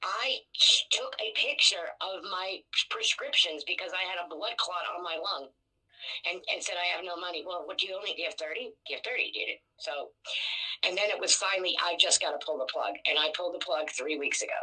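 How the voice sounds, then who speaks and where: A woman with a rough, husky voice and a bright, hard edge, on the lower side for a woman. She vents in a casual, emotional flow, swearing freely and putting herself down. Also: brisk, rough recording. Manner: blunt, profane, self-deprecating.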